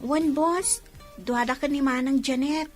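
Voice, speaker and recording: Cheery young woman, high voice, teasing radio drama talk. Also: fairly even intonation, unhurried, faint music behind. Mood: playful.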